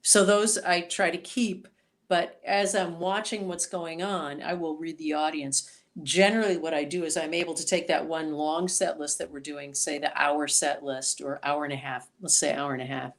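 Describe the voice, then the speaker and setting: A woman singer-songwriter being interviewed on a podcast, talking in an animated, chatty way. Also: deep voice, moderate pace. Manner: animated, chatty storyteller, voices quoted reactions.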